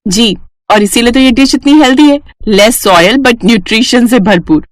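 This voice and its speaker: Woman acting an eager, sweet young wife, higher voice. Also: a little slow, dry recording, close to an everyday voice. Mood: cheerful, proud. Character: eager, sweet young daughter-in-law, health-food enthusiast. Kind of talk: animated Hindi story dialogue.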